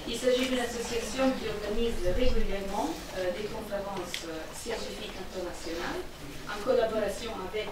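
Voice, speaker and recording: A woman from Italy giving a formal opening address as the president of an association, speaking in a measured way and often hesitating. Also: medium pitch, heavy background noise. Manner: measured, frequent hesitations, reads prepared remarks. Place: conference hall. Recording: echoey hall, speaker far from mic.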